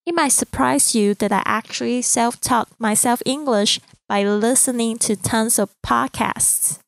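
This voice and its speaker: A woman in her thirties from Taiwan with a Mandarin accent, a podcast host reading a calm, earnest, inspirational script. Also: fairly high pitch, a little slow, gravelly, no room sound. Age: 30s.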